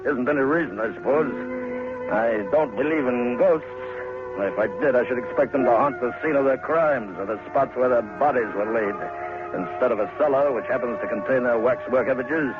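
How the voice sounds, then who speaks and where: An actor playing a cautious, businesslike museum manager in an old radio drama, measured and formal with hesitant asides. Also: male voice, mid-range voice, over music, natural voice. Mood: uneasy. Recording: tinny, noisy old radio recording.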